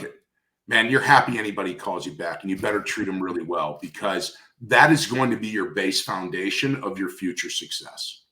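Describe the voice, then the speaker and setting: A man's voice: lowish voice, fairly bright tone. A man speaking conversationally in an industry interview, coaching emphatically and repeating key phrases for stress. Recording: roomy, echoey sound.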